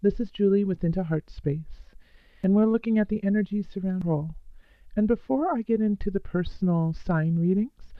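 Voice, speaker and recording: A woman reading tarot cards, hoarse and raspy from bronchitis, speaking calmly and drawing some phrases out slowly. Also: medium pitch, dry recording, highs cut off. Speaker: tarot reader.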